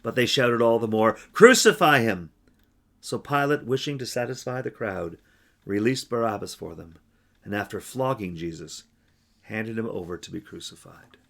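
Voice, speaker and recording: A man, a minister, giving a measured, solemn scripture reading and reflection at a church service. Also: lowish voice, vocal fry, a bit bright.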